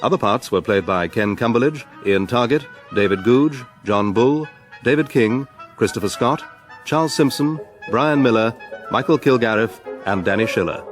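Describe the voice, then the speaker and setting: A man's voice: mid-range voice, expressive, normal speed. A man reading a scripted cast and credits announcement for radio. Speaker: continuity announcer. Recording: brass theme music behind voice.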